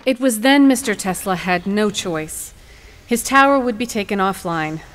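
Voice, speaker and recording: Female trial lawyer, played by an actress, mid-pitched, poised, formal and determined, dramatic storyteller's delivery, faint music behind. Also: expressive, moderate pace, fairly bright tone, natural voice. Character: poised, determined female attorney arguing in court. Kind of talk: audio courtroom drama acting.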